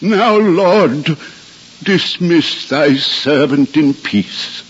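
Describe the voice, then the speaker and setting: A man's voice: on the high side, animated, extremely slow, slightly rough, heavily acted. An actor playing an aged, devout male prophet with solemn, declamatory delivery in a 1950s radio drama. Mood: reverent, joyful. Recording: dull 1950s radio recording, music behind.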